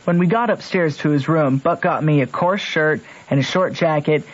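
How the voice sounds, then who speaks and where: A man's voice: fairly high pitch, moderate pace, natural voice. An actor playing a country boy with a Southern accent, telling his adventures plainly and colloquially in a radio drama. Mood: reflective storytelling. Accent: American Southern. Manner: plain, colloquial rural speech. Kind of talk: storytelling narration in old radio drama. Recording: dull old radio broadcast.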